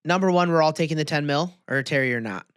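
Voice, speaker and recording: A man's voice: a little high, quick pace, a bit bright, clear, resonant tone, no room sound. A man chatting casually as a podcast co-host, talking in a slangy, incredulous way. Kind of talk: casual chat.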